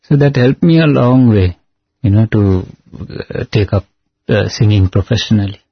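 A man's voice: lowish voice, moderate pace, slightly rough, no room sound. A man whose first language is Nepali, a singer recounting stories in an earnest, devotional interview, now and then singing a line. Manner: earnest storytelling, breaks into sung lines. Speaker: singer and guest. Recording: slightly dull with faint music.

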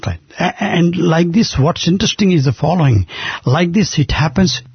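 An older man with an Indian accent, telling stories in an earnest, halting way with many restarts. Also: a little high, expressive, vocal fry, breathy edge, no echo. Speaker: guest. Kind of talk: conversational storytelling. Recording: dull muffled lo-fi sound.

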